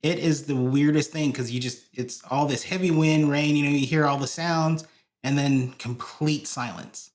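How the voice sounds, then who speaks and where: A man's voice: on the high side, steady tone, moderate pace. Rambling man from Hawaii, lots of fillers. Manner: rambling with many fillers and restarts. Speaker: podcast guest, church video director. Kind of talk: casual interview chat.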